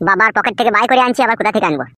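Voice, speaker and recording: A woman's voice: mid-range voice, expressive, rapid-fire, sharp tone, dry recording, clean studio sound. A woman voicing a scheming, foul-mouthed young male troublemaker in a comedy cartoon, slangy and bossy. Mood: impatient. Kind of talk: comic cartoon dialogue. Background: music.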